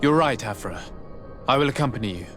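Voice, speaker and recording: A man plays a male adventurer in a fantasy video game, courteous and inquisitive. His voice is mid-pitched with a very varied melody, and his phrasing stays polite, calm and diplomatic. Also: faint music behind, close to an everyday voice. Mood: agreeable. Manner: polite, measured. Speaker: player protagonist. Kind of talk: fantasy game dialogue acting.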